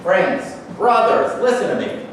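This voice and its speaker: A man's voice: high voice, animated, measured pace. Man playing a preachy, impassioned agitator, echoey room. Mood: rallying. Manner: declamatory, preachy. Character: fervent left-wing agitator rallying workers against the rich. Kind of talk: staged reading of a play. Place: staged reading in an echoey room, distant mic. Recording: echoey noisy room from far off.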